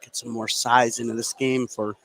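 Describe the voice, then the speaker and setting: A man's voice: medium pitch, little pitch movement, normal speed, gravelly. A man doing live basketball commentary for radio, explaining the play in an analytical way. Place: indoor basketball gym. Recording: live gym broadcast with faint noise. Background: faint gym noise, bouncing basketball.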